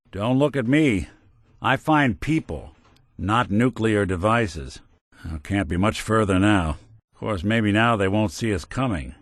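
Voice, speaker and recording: A low male voice gives life to a decent, weary robot detective out of a noir video game. The delivery is wry and sardonic, with folksy tough-guy turns and lively rises and drops. The sound is very dry, with no room around it. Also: dry recording. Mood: dry, friendly.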